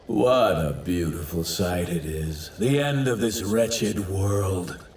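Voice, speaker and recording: An actor playing an arrogant, self-righteous villain god in an anime dub, declaiming in a grandiose, archaic and theatrical manner. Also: male voice, low voice, expressive, takes it slowly, darkish tone. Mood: grandiose, menacing. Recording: roomy, distant, faint effects noise.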